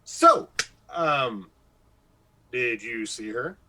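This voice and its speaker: A man playing an excitable, jealous, insecure morning radio host in a radio comedy-drama, stammering, gushing and evasive. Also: mid-range voice, extremely slow, full character voice. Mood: nervous, fishing.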